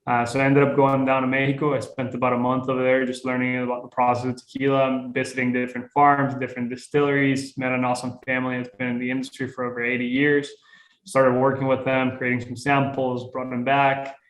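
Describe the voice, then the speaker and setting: A young man from Costa Rica telling his founding story as a guest, relaxed and rambling, with many fillers and restarts. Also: medium pitch, fast, roomy sound, low-quality recording. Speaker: guest, tequila brand founder. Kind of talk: casual interview storytelling.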